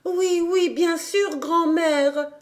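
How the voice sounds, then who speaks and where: A woman's voice: high voice, measured pace, voice pushed up. Heidi, an eager little girl, as a woman reads her. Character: little girl rushing home, eager and loving. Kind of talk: storybook reading.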